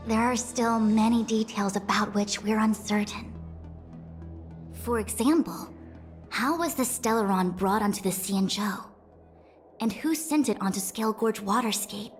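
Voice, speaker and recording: An actress voicing a scholarly female diviner in a video game, speaking in a formal, measured and eloquent way. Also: a little high, lightly acted. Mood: serious, formal. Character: composed, scholarly female diviner official, analytical and dutiful. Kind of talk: scripted game cutscene dialogue. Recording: background music under the voice.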